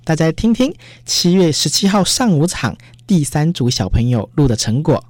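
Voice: mid-range voice, sing-song, normal speed, a little mellow, no room sound. A radio host with a Taiwanese accent, chatting directly to listeners in an announcing style.

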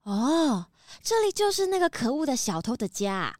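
Self-satisfied pelican tycoon, children's audio drama. High, very animated, salesy, Taiwanese Mandarin accent. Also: female voice, normal speed, over music. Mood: haughty, surprised. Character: vain, gushing rich female pelican business tycoon. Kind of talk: children's detective radio play acting.

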